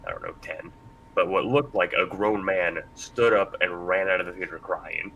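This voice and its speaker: A man's voice: lively intonation, normal speed, gravelly, bright, forceful tone, highs cut off. A man in his thirties sharing memories in a casual chat as a panelist. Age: 30s. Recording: faint low rumble behind voice.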